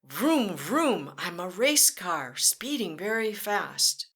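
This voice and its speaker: A woman reads a children's picture book aloud, slow and unhurried, with a bright, clear tone. Her voice swoops up and down in a lively sing-song, and she gives the vehicle noises a playful bounce. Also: fairly low pitch. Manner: talks directly to children. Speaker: children's storyteller. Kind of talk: read-aloud storytime.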